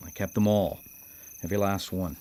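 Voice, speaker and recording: An actor in a radio drama playing a guilt-ridden male killer, halting as he confesses and menacing in manner. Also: sits low, fairly even intonation, mellow, lightly acted. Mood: wistful, bitter. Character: brooding guilt-ridden male serial killer, haunted by his mother. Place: live radio drama broadcast.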